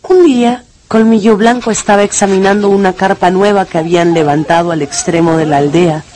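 A man's voice: high-pitched, fairly even intonation, brisk, darkish tone. A man narrating a radio novel in a scripted, dramatic storytelling style.

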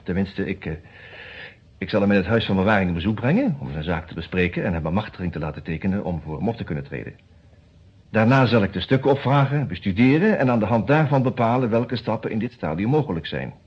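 A man acting a formal, cautious lawyer in a radio detective play, speaking in measured, businesslike phrases with hesitant pauses. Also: fairly low pitch, very animated, slightly rough. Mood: firm, formal. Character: formal, cautious male defence lawyer who also narrates. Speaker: actor in a radio detective serial. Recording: dull, muffled old radio recording.

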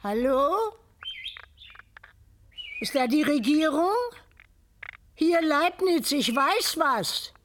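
An actress playing a chatty, credulous neighbour with an effusive conversational manner in a radio play. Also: female voice, high-pitched, expressive, extremely slow, long pauses, fairly bright tone, a little hiss or hum, heavily acted. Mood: eager.

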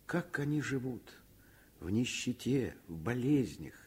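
An actor playing a principled, emphatic doctor and fond father with a husky voice in a 1950s Soviet radio play. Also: mid-range voice, sing-song, measured pace, a little mellow, heavily acted. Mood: scornful indignation.

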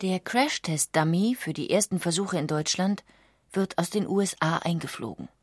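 A woman reading scripted documentary narration with measured, factual delivery. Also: lowish voice, slightly rough, no room sound.

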